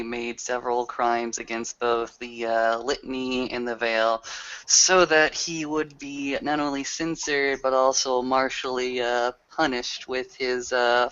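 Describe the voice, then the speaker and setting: A man with a bright, forceful voice takes part in a tabletop roleplay. His pitch is average and his phrasing formal and halting. The recording is slightly dull. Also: smooth. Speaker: roleplaying game player.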